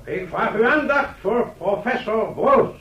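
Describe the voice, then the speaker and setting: An actor playing a pompous, flustered town mayor in a radio play, addressing an assembly in ceremonious, declamatory style. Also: male voice, high-pitched, expressive, unhurried, a bit bright, far from the mic. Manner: declamatory, ceremonious, stumbling into self-correction. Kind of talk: formal speech in a radio play. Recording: dull echoey radio recording, crowd noise.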